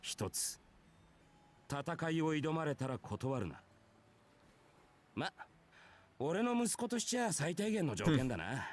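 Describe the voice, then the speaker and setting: An actor playing a brash, easygoing male warrior in a visual novel, telling his past in rough, casual, nonchalant speech. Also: a little high, very animated, moderate pace, pauses often. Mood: nonchalant, wistful. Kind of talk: Japanese visual novel voice acting.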